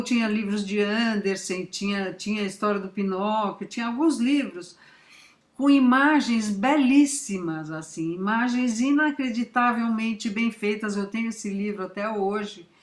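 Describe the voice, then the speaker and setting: A woman's voice: medium pitch, a little slow. An older woman from Italy, a guest writer in a casual interview, reflective and hesitant as she recalls. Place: library event. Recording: echoey room.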